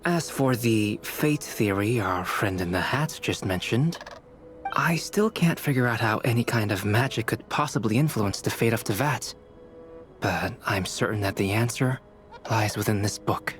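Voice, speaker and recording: An actor playing a calm, analytical young male alchemist in a video game, measured and explanatory. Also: on the low side, soft background music, lightly acted. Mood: composed, explanatory.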